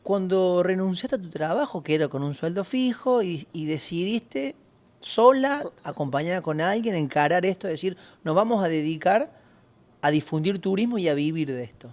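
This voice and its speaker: A man hosting a podcast interview, asking probing questions in quick strings. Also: high-pitched, animated, darkish tone. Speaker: podcast host.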